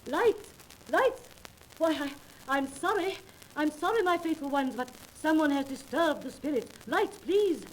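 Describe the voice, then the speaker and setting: Showy high-voiced phony spirit medium. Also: female voice, lively intonation, measured pace. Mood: frantic. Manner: declamatory, solemnly showy. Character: theatrical fake spirit medium, grandiose woman swindler. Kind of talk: 1930s radio acting. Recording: noisy 1930s radio transcription disc.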